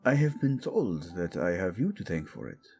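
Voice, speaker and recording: A man playing a proud, passionate young king devoted to his wife in a romantic audio drama, speaking earnestly and deliberately. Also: low voice, sing-song, a little mellow, faint music behind. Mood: tender, hurt.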